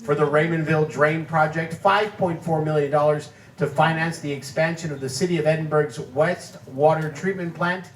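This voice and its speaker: Male presenter, formal and measured, in a roomy banquet hall with faint background noise. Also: on the high side, steady tone. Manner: measured reading of facts and figures. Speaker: award presenter. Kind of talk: formal prepared speech. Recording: echoey hall, distant mic.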